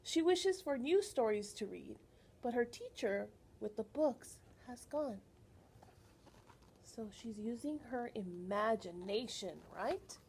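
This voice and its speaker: A woman reading a picture book aloud to young children as a librarian, animated and teacherly, often pausing to put questions to her listeners. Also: a little high, a little hiss or hum.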